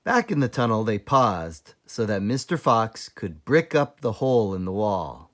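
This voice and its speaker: A man reading a children's book aloud as a teacher librarian, explaining things deliberately for young listeners. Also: lowish voice, sing-song. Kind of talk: children's storybook read-aloud.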